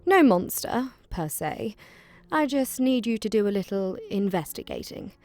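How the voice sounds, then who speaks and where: A woman's voice: medium pitch, big pitch swings, a little slow, darkish tone, no room sound. An actress voicing a cold, imperious elven lady who owns a mine.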